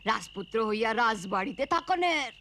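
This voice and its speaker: A woman voicing a kindly old village grandmother in a cartoon, telling bedtime tales in a rural Bangladeshi accent with a creaky voice. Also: on the high side, sing-song, fairly quick, pushed and bright, slight background noise, heavily acted. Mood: storytelling. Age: older. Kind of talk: cartoon storytelling voice acting.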